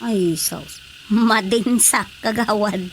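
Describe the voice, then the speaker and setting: An actress playing a gossipy, teasing village council colleague in a radio drama comedy, with a Filipino accent. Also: female voice, medium pitch, takes it slowly. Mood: chatty, teasing. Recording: faint background noise.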